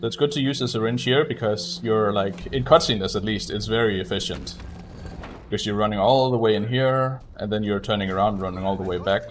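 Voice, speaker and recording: A man giving casual, halting live commentary as he streams a video game speedrun. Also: on the low side, faint music behind.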